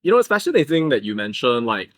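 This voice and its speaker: A male interview host asks his questions in an upbeat, chatty way, leaning on "like" and quick little reactions. His voice sits at an ordinary pitch. The sound is close and dry, with little room echo. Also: big pitch swings. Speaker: podcast host. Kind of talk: casual interview conversation.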